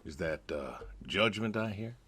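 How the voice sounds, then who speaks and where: An actor playing a gentle, plainspoken older farmer in a video game, with a laconic, dry manner. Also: male voice, low-pitched, lively intonation, measured pace, slight background noise. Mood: dry, matter-of-fact. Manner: laconic, dry, folksy, understated.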